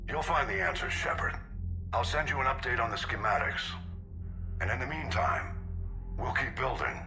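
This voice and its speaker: An actor playing a grave, older admiral in a video game, giving formal, measured briefings with steady resolve. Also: male voice, mid-range voice, music in the background, natural voice. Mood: resolute. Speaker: fleet commander.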